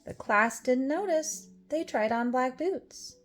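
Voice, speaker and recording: A woman reading a rhyming children's storybook aloud, with expressive, deliberate phrasing. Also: sits high. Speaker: storybook reader. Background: music.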